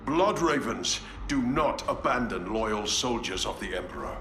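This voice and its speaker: A commanding space marine commander. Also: male voice, fairly low pitch, lively intonation, measured pace, bright, forceful tone, a little hiss or hum, heavily acted. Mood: resolute. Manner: commanding, resolute. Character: stern, noble space marine chapter master. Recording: roomy game cutscene audio with music.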